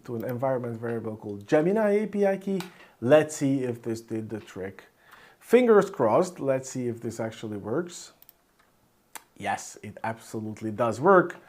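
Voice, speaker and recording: A man's voice: mid-range voice, darkish tone. A man whose first language is Hungarian gives an informal screencast programming tutorial, thinking aloud as he types. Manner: thinks aloud while typing. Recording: clear background noise, keyboard clicks. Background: computer keyboard typing and mouse clicks.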